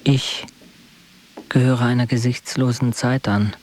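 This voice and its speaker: An actor playing a sombre, defiant poet-witness in a literary radio drama, speaking gravely in declarations. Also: male voice, medium pitch, steady tone, a little slow, darkish tone, a little hiss or hum, close to an everyday voice.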